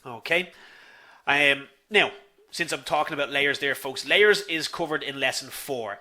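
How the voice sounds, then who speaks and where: A man's voice: medium pitch, sharp tone. A man with a Dublin Irish accent teaches a live online class, chatty, calling his viewers folks and reading their comments aloud. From Ireland. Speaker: online instructor.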